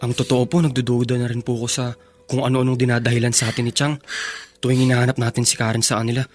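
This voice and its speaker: A medium-pitched male actor as a young son in a radio melodrama. He speaks politely and earnestly, with a pleading respect for his mother. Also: monotone, fast talker, close to an everyday voice. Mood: doubtful, uneasy. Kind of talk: Filipino radio drama acting.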